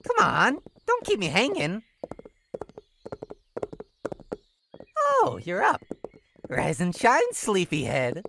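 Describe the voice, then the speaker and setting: A mischievous clown creature from a horror game lurches between singsong teasing and low, creaky menace. The pitch swings wildly as it toys with the listener in theatrical, taunting fashion. There is clear noise on the recording. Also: female voice, heavily acted. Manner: theatrical, teasing singsong with drawn-out words and sudden drops. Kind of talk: visual novel horror game character acting.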